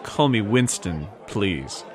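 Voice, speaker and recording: Suave, flirtatious society gentleman. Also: lowish voice, big pitch swings, slow pace, a faint crowd. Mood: teasing, charming. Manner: genteel, teasing. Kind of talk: 1940s radio serial acting.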